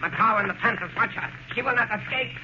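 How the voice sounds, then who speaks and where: An actor playing an excitable, obedient cult servant with urgent, stilted phrasing in a 1930s radio drama. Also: male voice, sits high, fast talker, natural voice. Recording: muffled, noisy 1930s radio recording.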